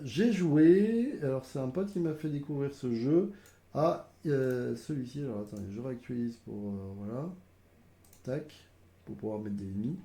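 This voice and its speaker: Man with a cold, casual board game reviewer, gravelly, halting delivery, roomy echo. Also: mid-range voice, dark tone. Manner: hesitant, many fillers, explains step by step. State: has a cold. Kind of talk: casual game explanation to camera.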